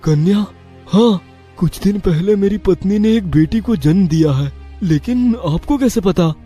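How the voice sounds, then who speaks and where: A man's voice: high-pitched, a little slow, mellow, husky, soft background music. A man playing a poor, anxious, humble labourer in a cartoon story, pleading and plaintive. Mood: startled.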